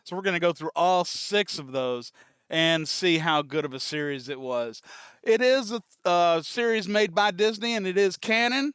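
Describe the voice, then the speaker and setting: A man's voice: high-pitched, expressive, moderate pace, fairly bright tone, smooth, no echo, clean studio sound. A man hosting a reaction show, chatting casually in an easygoing way.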